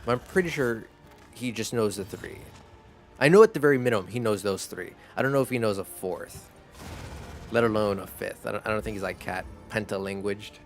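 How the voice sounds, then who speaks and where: A man whose first language is Spanish, chatting casually as a streamer while gaming, in a rambling, relaxed way. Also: on the low side, a little fast, soft background music. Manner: rambling, relaxed, laughs easily.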